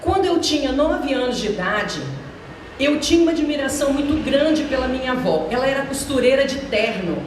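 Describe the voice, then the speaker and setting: A woman's voice: sits high, animated, fairly quick, a little hiss or hum. A retired Brazilian teacher in her sixties telling personal stories to students in a chatty, anecdotal way, talking straight to the audience. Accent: Brazilian Portuguese. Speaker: retired teacher, guest speaker. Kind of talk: personal storytelling talk to students. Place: large echoey school hall. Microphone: handheld microphone. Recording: echoey hall from a distance.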